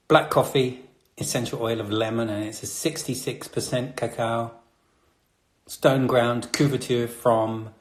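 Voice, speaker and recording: A man from Britain, a chocolatier hosting a casual live chat, talking in a chatty, slightly nervous way with many fillers. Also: mid-range voice. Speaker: chocolatier host. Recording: roomy echo.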